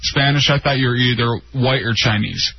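A man co-hosts a call-in radio show, needling his partner with deadpan jabs. His voice is slightly husky and sits mid-range. The broadcast sounds a little dull. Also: medium pitch, normal speed. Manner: dry teasing, deadpan jabs. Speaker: radio co-host.

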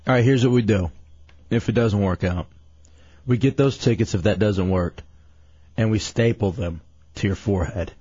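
A man's voice: on the low side, moderate pace, pauses often, mellow, husky, highs cut off. A man co-hosting a radio show with a sick, worn voice, joking and rambling in casual banter. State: sick, losing his voice.

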